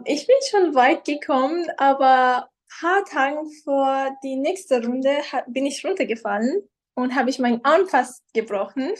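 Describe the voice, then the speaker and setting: Young woman, high voice. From Iran. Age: young adult. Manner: hesitant, searching for words in learned German. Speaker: guest, professional model. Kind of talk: casual interview.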